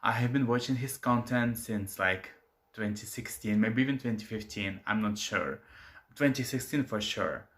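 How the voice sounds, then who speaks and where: A man's voice: on the low side, moderate pace, fairly bright tone. A young man for whom English is a second language, hosting his own channel with hesitant commentary full of filler sounds. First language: not English. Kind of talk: casual commentary to camera.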